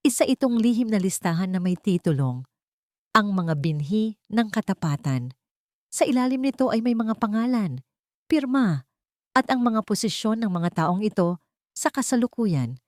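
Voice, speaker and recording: A woman's voice: medium pitch, no echo, clean studio sound. A woman reading a dramatic first-person story as scripted narration.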